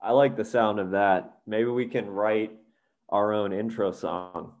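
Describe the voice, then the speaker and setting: A man's voice: lowish voice, fairly even intonation, a little slow, a little mellow. A man co-hosting a podcast, speaking thoughtfully and encouragingly in casual chat, with some hesitation. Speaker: podcast co-host.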